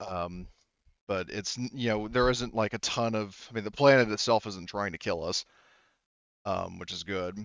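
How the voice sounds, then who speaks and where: A man narrating a tabletop roleplaying game as game master, casually improvising and haltingly feeling his way through the details. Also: lowish voice, vocal fry, a bit bright, dry recording, dull audio.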